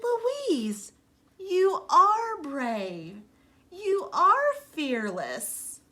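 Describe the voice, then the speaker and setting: A woman voicing a bold young girl in a children's storybook, with emphatic, drawn-out proclamations. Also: extremely high pitch, wildly swooping pitch, pushed and bright, slight background noise, voice pushed up, heavily acted. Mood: amazed, emphatic. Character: bold young girl, boastful and fearless, later scared. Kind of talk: children's storybook read-aloud.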